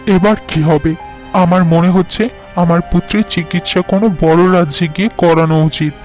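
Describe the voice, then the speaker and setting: Devoted, anxious father, fairy-tale cartoon, acted by a man: earnest, somewhat high male voice. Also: steady tone, normal speed, soft background music, close to an everyday voice. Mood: worried. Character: worried, devoted father of a sick young girl.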